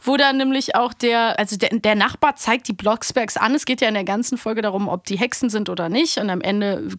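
A woman's voice: mid-range voice, fairly quick, fairly bright tone, no room sound, clean studio sound. A woman co-hosting a podcast, chatting casually and colloquially about audio dramas. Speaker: podcast co-host.

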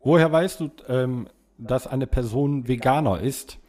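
Male podcast co-host, average pitch, informal and slightly halting conversational delivery. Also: darkish tone. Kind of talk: casual chat among friends.

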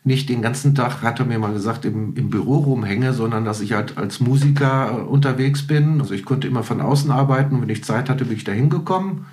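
A man's voice: medium pitch, brisk, hardly any pauses, a little mellow. A man speaking as a podcast guest and musician, telling anecdotes in a conversational interview.

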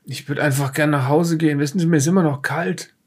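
An actor playing a peevish, well-off scholar who survived a flood, speaking hesitantly and fussily in an improvised audio drama. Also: male voice, on the high side, steady tone, fast talker, lightly acted. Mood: peevish, weary. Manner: hesitant, fussy, snobbish.

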